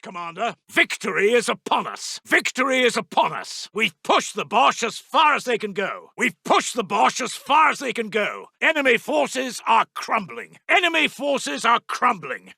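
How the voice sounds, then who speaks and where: A British military intelligence officer from a war game, high-voiced and very animated. He reports in clipped, formal, urgent phrases with a forceful edge and a British accent, loyal to his commander. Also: creaky, no room sound, clean studio sound, full character voice. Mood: alarmed, tense, then confident. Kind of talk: scripted video game unit announcements.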